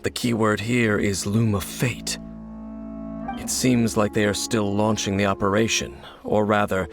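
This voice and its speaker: A man playing an aloof, world-weary young swordsman in an anime video game, speaking gravely and with restraint. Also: lowish voice, flat delivery, measured pace, over music. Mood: pondering.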